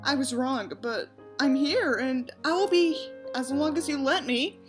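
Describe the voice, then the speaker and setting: A woman's voice: sits high, a little slow, music in the background. A woman voicing an earnest, apologetic, self-serious teenage boy in an audiobook, in a pleading manner. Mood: apologetic, soothing. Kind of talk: dramatic audiobook character reading.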